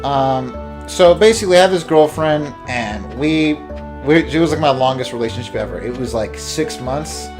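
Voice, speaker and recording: A young man hosts a gaming video in a mid-range voice. He tells stories casually, wandering off track and joking as he goes. Video game music plays clearly behind him. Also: mid-range voice, normal speed. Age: young adult. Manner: rambling, jokey, sidetracks himself. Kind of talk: casual storytelling over game play.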